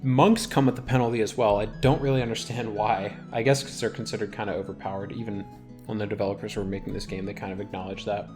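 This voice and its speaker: A man's voice: fairly low pitch, little pitch movement, quick pace, over music. A man giving casual, rambling gameplay commentary as a video host, thinking aloud as he plays.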